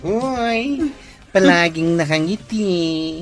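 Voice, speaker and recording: A playful young best friend in a radio drama. Her pitch swings widely as she teases and encourages, stretching words for emphasis. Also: lively intonation, drawn-out words, faint music behind. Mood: teasing.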